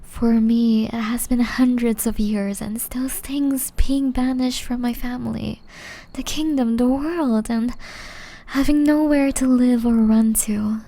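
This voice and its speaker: A woman playing a gentle, centuries-old banished witch in an ASMR roleplay, speaking softly and breathily, often whispering, with a slow, tender, pleading manner. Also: fairly high pitch, steady tone. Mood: wistful, tender. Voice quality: soft, breathy, largely whispered.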